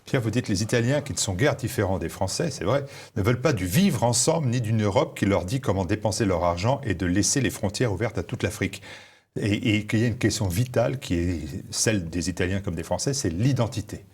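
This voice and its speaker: A male interviewer putting brisk, leading questions to his guest. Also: on the low side, lively intonation, darkish tone, slightly rough.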